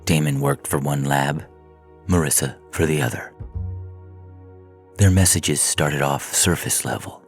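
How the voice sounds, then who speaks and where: A man with a deep, husky voice reading a scripted science fiction story as narrator. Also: unhurried, long pauses, soft background music.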